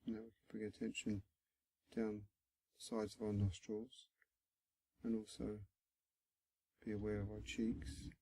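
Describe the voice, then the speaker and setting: A man guiding a body scan meditation in a gentle, instructional manner, pausing often between short phrases, with a low, husky, creaky voice. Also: monotone, dry recording, poor audio. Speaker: meditation guide.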